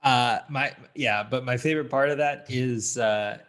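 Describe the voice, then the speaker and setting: A man co-hosting a casual film review podcast, talking haltingly as he searches for words. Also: medium pitch, fairly bright tone. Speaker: podcast co-host.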